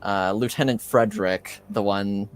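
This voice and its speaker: Slow-talking, clear-voiced man as game master. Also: lowish voice, steady tone. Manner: halting, searching for words. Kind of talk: improvised narration of a tabletop game.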